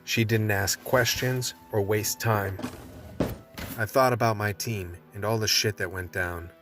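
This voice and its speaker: A man's voice: lowish voice, steady tone, a little slow, recorded from a distance, lightly acted. A man playing a tough, gun-toting action hero who narrates his story in a gritty, colloquial radio play style. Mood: weary, grave. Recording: music bed under the voice.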